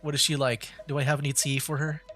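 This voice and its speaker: A man's voice: on the high side, flat delivery, fast talker, a bit bright. A man doing casual gameplay commentary as a streamer, rambling and thinking aloud. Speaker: video game streamer. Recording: game music clearly behind the voice.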